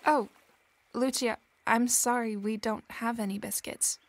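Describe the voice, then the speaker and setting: A woman's voice: a little high, steady tone, a little slow. An actress playing a proud, earnest, headstrong young woman in a period audio drama, with formal diction.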